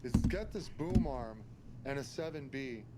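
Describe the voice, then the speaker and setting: A man's voice: mid-range voice, expressive, a little slow, very noisy. A man who is a parent chatting casually as a stream guest, hesitant with fillers and swearing when annoyed. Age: adult parent. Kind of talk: casual chat.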